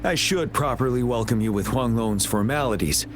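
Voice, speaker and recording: An actor playing a stern, dignified army general in a video game cutscene, speaking formally with measured, resolute courtesy. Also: male voice, mid-range voice, background noise, close to an everyday voice. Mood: courteous, formal. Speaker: military commander in a video game. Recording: music behind the voice. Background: game soundtrack music.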